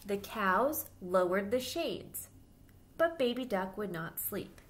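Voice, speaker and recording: A woman in her thirties reading a children's picture book aloud, with simple, deliberate phrasing for young listeners. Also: mid-range voice, lively intonation, fairly bright tone, a little hiss or hum. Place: small plain-walled room indoors.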